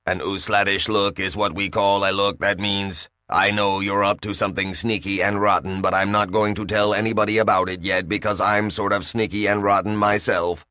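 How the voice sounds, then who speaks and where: A man reading the lines of a sly, confiding young woman in a calm, matter-of-fact way. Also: lowish voice, normal speed, few pauses, clear, resonant tone, dry recording, studio quality.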